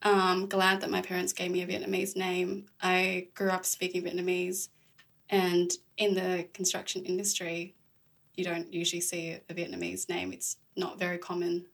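An earnest young woman from Australia answers interview questions in a casual, reflective way. Her voice sits low and has a gravelly fry, and she talks openly and sincerely. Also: steady tone, normal speed. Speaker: podcast host, interviewed as guest.

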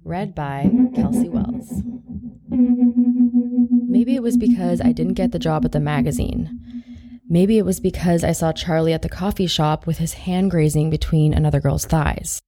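A woman's voice: lowish voice, measured pace, mellow. A woman reading first-person short fiction as a narrator, in a wry, confiding way.

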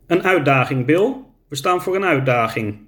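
A man's voice: a little high, animated, moderate pace, a bit bright. A man doing Sam, a cautious elderly man. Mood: anxious. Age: older. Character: elderly man, his brother's careful partner.

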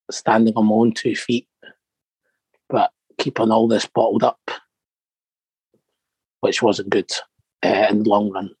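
A man in his thirties, born in Morocco and raised in Scotland, speaking softly and hesitantly as an interview guest. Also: lowish voice, breathy edge, dry recording, rough recording. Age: 30s. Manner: soft-spoken, hesitant with many ums. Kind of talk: personal interview.

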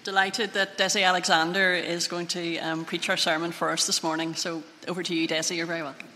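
A woman's voice: fairly low pitch, little pitch movement, a little fast, bright, forceful tone, slight background noise. A woman reading scripture aloud and speaking to a congregation as part of a church service. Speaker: Bible reader introducing the preacher. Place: large reverberant church hall. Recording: long hall reverb.

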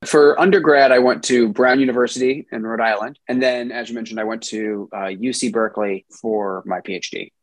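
Man, thirties, software engineer guest, relaxed, halting, husky, dry close sound. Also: mid-range voice, no room sound, poor audio. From New Jersey, USA. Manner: relaxed, many ums and restarts. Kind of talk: interview answers.

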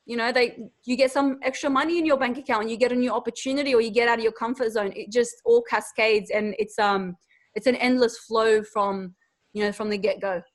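Earnest Australian woman, fairly high voice. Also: fairly bright tone. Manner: earnest, heartfelt, many fillers and restarts. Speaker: podcast guest. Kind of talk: casual interview storytelling.

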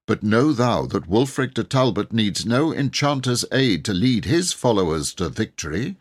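Man as a gallant, husky-voiced grandiose knight. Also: lowish voice, big pitch swings, normal speed, pitched above the performer's own voice. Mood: courtly, puzzled. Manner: pompous, archaic, courtly declaiming. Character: kindly, gallant, grandiose medieval knight leader.

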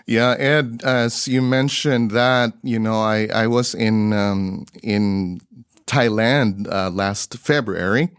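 A man recounting an anecdote as an interview guest, halting and repeating words as he searches for the next one. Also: on the low side, steady tone, clean tone, no room sound, studio quality. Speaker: guest, mission broadcaster. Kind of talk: conversational anecdote.

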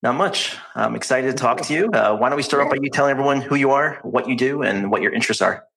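A man hosting a podcast, asking questions in an upbeat, brisk way. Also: medium pitch, gravelly, a bit bright, poor audio. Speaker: podcast host. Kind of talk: casual interview.

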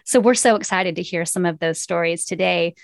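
A woman's voice: on the low side, brisk, vocal fry, no room sound, studio quality. A woman hosting an interview podcast, speaking in an enthusiastic, encouraging way. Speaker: podcast host. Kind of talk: scripted introduction and interview hosting.

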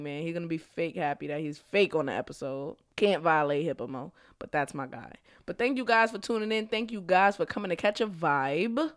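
A young woman hosts a laid-back solo podcast, sliding into slang and breaking into laughs. Her voice is smooth but a little stuffy from a cold. It is recorded close and dry, with no room sound. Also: low-pitched, dry recording. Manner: laughs often, slangy and upbeat. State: slightly congested. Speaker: podcast host; school counselor. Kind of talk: casual solo podcast monologue.

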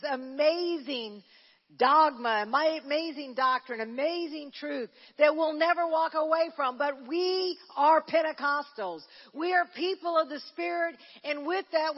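A woman's voice: sits high, dull audio. Woman preaching in an echoing church hall. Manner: conversational, with frequent restarts, rising to fervent preaching. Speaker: church minister leading a prayer service. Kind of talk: Pentecostal sermon. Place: church sanctuary.

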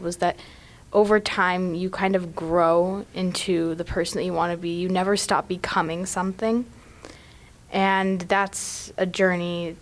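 A young woman in her teens, speaking as a panelist on a book podcast. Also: fairly low pitch. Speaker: teen reading ambassador, podcast panelist. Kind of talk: scripted reading and casual discussion.

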